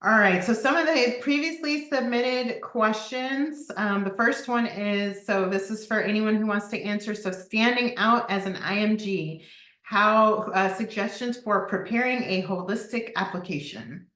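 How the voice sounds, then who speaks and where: A woman hosting an online panel discussion as moderator, informal and somewhat halting. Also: mid-range voice, a bit bright. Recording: roomy, echoing sound.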